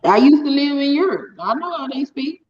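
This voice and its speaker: A woman's voice: sits high, expressive, fairly quick, darkish tone, dull audio. A woman with a Southern US accent in a casual online voice chat, matter-of-fact and frank. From Southern United States. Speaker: chat guest.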